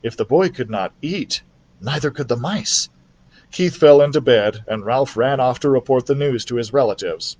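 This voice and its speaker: A man reading a children's book aloud as a library storyteller, in an expressive style. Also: mid-range voice, normal speed.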